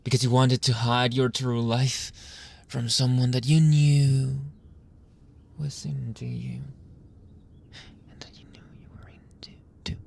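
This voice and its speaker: Man acting out a husky, whispering, seductive mafia boss. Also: medium pitch, flat delivery, darkish tone. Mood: cold, accusing. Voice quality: breathy, husky, often dropping into whisper.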